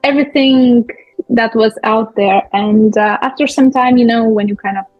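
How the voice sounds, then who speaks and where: A young woman from Lithuania, a guest speaking in a friendly, halting way with many fillers. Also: fairly high pitch, steady tone, dry recording, faint music behind. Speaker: guest, business owner. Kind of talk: casual interview talk. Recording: muffled, dull audio.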